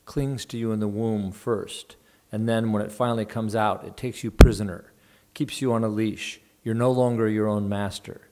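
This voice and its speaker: A man reading aloud as a mother who speaks emphatically and darkly, with a low gravelly voice. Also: moderate pace, heavy background noise, natural voice. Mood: grim. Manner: emphatic, dark, animated. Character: gloomy mother, dark and emphatic about pregnancy.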